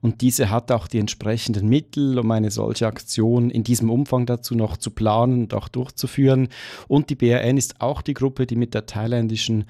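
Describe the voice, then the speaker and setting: A man's voice: medium pitch, fairly even intonation, moderate pace, mellow, no room sound, clean studio sound. A man working as a foreign correspondent, explaining events in a radio news interview.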